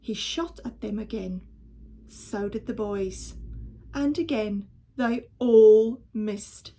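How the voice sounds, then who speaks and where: Woman reading a kids storybook, slow and expressive, voice on the high side. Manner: measured, animated storytelling for children. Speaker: primary school teacher reading a story aloud. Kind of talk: expressive children's storybook narration.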